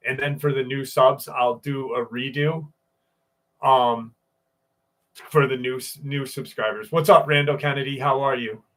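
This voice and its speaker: A man's voice: medium pitch, a bit bright. A man hosting a live stream, telling stories in a loose, profane way and greeting viewers by name. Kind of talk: casual live stream chat and storytelling.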